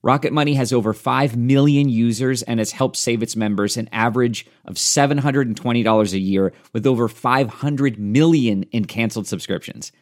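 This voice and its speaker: A man reading a sponsor advertisement in a chatty, persuasive testimonial tone. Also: medium pitch. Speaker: ad narrator. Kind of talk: scripted radio ad read.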